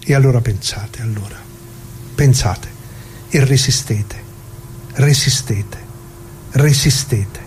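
Guy playing old dead factory worker, soft dark voice, bitter, halting radio drama monologue, bit of noise. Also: medium pitch, lightly acted. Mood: solemn, resolute. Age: older. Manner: halting, repetitive, emphatic pauses, direct address to listeners. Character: dead retired working-class man, bitter, plain-spoken, mournful.

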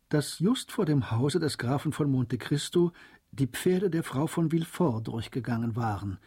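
A man's voice: medium pitch, mellow, slightly rough. A man giving scripted literary narration as the narrator of a German radio drama. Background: music.

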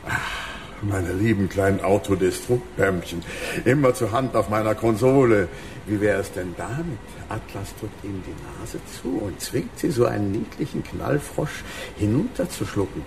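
A man's voice: fairly low pitch, animated, normal speed, background noise. An actor playing a sadistic, ice-cold, decrepit old villain who savors his cruel words in a German radio drama. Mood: gloating, musing. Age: older.

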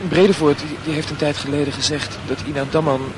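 A man acting a touchy, lovesick schoolboy in a radio play, with a fairly high voice. He speaks haltingly, repeating himself, over clear background noise. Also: flat delivery, close to an everyday voice. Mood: insistent. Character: touchy, lovesick male secondary-school student. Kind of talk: radio drama dialogue. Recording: noisy radio drama recording.

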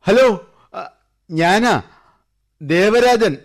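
A man's voice: sits high, extremely slow, exaggerated voice. An actor playing a grieving older father who speaks heavily and tenderly to his daughter. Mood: measured. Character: grieving older father, gentle but broken.

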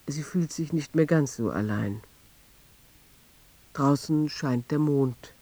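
An actor playing a quiet, detached storyteller, narrating a radio play in a measured, understated way. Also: male voice, on the high side. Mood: quiet melancholy.

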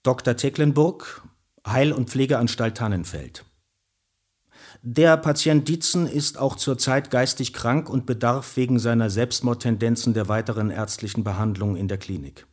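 A formal, stern doctor in a radio play, acted by a man. He sounds officious and matter-of-fact as he reads out reports. His voice sits at a medium pitch. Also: normal speed, close to an everyday voice. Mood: clinical. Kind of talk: scripted radio play, letter reading.

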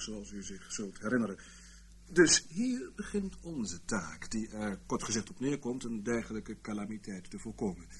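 An actor playing a dry, wry senior British intelligence chief with droll bureaucratic understatement in a Dutch radio play. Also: male voice, lowish voice, fairly bright tone, slight background noise. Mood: grave, dry.

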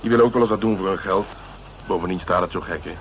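An actor playing a cocky, hard-boiled police inspector in a radio drama, bossy and colloquial, with a self-satisfied way of talking. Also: male voice, low voice, quick pace, slight background noise, natural voice, poor audio. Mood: cocky, relaxed. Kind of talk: Dutch radio drama acting.

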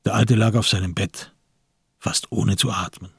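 A man with a husky, gravelly voice narrating a literary radio drama from a script. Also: on the low side, fairly even intonation, normal speed, no room sound, clean studio sound.

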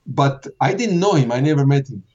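A man's voice: medium pitch, animated, fairly quick, a little mellow, breathy edge. An older man from Poland, answering interview questions in a rambling way, repeating himself and chuckling often. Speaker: film director interviewee. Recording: muffled lo-fi interview recording.

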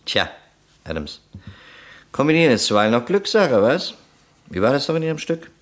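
An actor playing a shrewd, skeptical police detective in a German crime radio play, questioning in a dry, probing, sardonic way. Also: male voice, mid-range voice, very animated, moderate pace, lightly acted. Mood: dry, needling.

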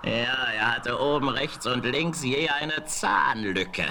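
An actor playing a shifty, inquisitive frontier rogue in a German radio play, theatrical and full of questions. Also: male voice, medium pitch, takes it slowly. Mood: curious, amused. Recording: noisy vintage audio drama recording.

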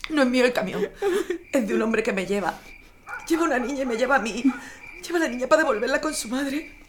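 A woman's voice: sits high, expressive, quick pace. Woman playing an earnest, pleading woman, noisy backdrop. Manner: emotional, imploring. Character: earnest, tender-hearted woman pleading for her family. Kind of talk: audio drama dialogue. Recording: clear background noise, outdoor ambience.

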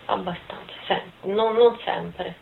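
A woman's voice: on the low side, steady tone, takes it slowly, vocal fry, a little hiss or hum. A woman in her twenties answering interview questions informally, hesitant and thinking aloud. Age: 20s. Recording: thin phone-like sound.

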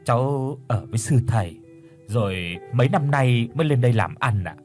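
A man's voice: lively intonation, normal speed, faint music behind, lightly acted. Man doing a stammering shy young man. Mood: timid. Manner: hesitant, stammering, soft and low.

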